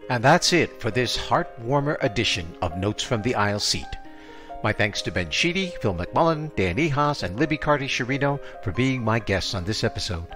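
A man's voice: mid-range voice, animated, normal speed, music in the background. An older man with an American accent, speaking as the host of an arts podcast. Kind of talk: scripted podcast announcement.

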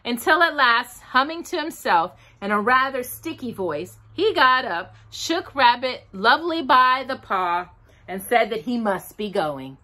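A middle-aged woman reading a children's storybook aloud at home, expressive and dramatic, recorded close on camera. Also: on the high side, unhurried. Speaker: storytime reader. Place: home, small room with bookshelves.

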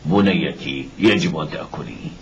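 An actor playing a devout, tender blind widowed father in a dubbed cartoon, pleading and gentle in manner. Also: extremely low pitch, normal speed. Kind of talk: dubbed cartoon acting in formal Arabic. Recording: muffled old cartoon soundtrack with background noise.

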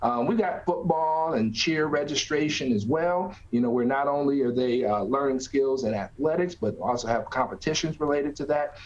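Middle aged guy giving interview answers on a video call, normal pitch, bit dull lo-fi audio. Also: normal speed, few pauses. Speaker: nonprofit executive director, interview guest. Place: small office, indoors.

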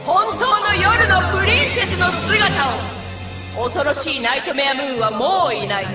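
Imperious, theatrical night princess pony. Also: female voice, very high-pitched, normal speed. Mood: proclaiming, indignant. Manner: haughty, archaic royal speech. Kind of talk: animated series dub acting. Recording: loud background music.

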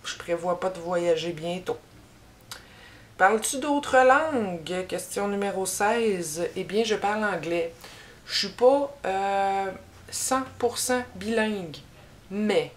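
A young woman with a broad Québécois French accent talks slowly and casually straight to camera in a small room, her voice sitting slightly low. She rambles and pauses on fillers, and a faint hiss sits under the recording. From Quebec. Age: young adult. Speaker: YouTuber. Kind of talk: casual tag video chat. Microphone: camera in front at head-and-shoulders framing.

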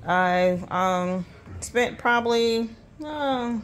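Woman host, middle-aged, casual budget vlogger; quick, chatty, filler-heavy delivery into a phone at her kitchen counter. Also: fairly high pitch. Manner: chatty, many ums, talks fast. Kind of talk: casual grocery haul vlog. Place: indoors at a home kitchen counter. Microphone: handheld phone filming items.

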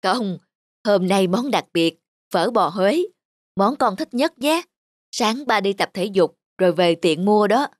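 Doting, gently teasing older father played by a woman. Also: mid-range voice, animated, unhurried, dry recording. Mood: affectionate. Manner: affectionate, fatherly. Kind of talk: animated cartoon drama acting.

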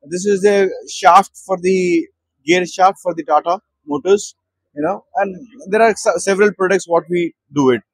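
A man in his thirties with an Indian English accent, a company director walking an interviewer through his products at an exhibition stall and pausing with fillers as he goes. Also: high-pitched, slight background noise, poor audio. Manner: hesitant, with many fillers and restarts. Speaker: managing director at a trade show. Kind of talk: guided product walkthrough. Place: busy exhibition hall stall.

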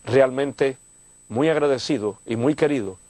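Older church leader from Cuba, speaking warmly and expansively in a studio interview, dry close sound. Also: male voice, medium pitch, measured pace, no room sound. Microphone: lapel.

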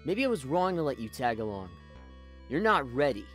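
A woman's voice: extremely low pitch, big pitch swings, moderate pace, soft background music. A woman voicing a cocky, exasperated young male cartoon hedgehog hero with blunt, slangy delivery. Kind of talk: cartoon comic dub acting.

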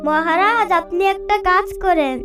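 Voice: very high-pitched, moderate pace, music in the background. A performer voicing a bold, clever young boy, earnest and admonishing, in a fairy-tale cartoon.

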